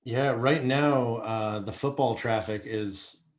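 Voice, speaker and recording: A man giving an informal, somewhat halting explanation as a guest on a video call. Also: medium pitch. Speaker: guest website professional. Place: virtual meeting on video call.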